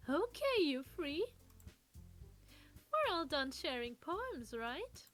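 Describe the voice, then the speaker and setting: A woman as a high, poised club-president girl. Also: very animated, slow, fairly bright tone, faint music behind, full character voice. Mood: upbeat, puzzled. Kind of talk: visual novel character dub.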